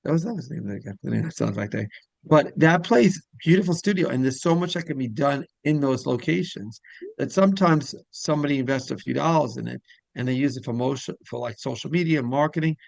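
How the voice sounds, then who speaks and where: Man, talk show host, gravelly creaky voice, dry close sound, rambling, conversational. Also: medium pitch, animated, brisk, darkish tone, no echo. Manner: rambling, repetitive phrasing, trails off mid-thought. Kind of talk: casual conversational interview.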